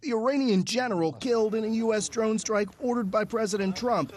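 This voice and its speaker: A woman's voice: fairly high pitch, brisk, a little mellow. A woman news correspondent narrating a scripted television report.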